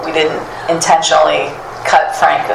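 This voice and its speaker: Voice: medium pitch, monotone, moderate pace, creaky. A speaker in a casual meeting discussion, friendly and laughing while talking. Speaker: meeting participant. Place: meeting room. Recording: echoey room, background noise.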